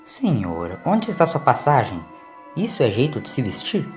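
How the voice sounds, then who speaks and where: Man playing an officious, forceful ship chief. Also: medium pitch, huge pitch swings, moderate pace, soft background music. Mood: indignant. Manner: authoritative, formal, forceful announcements. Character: officious male ship chief, blustering, anxious to keep order. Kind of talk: audio story character acting.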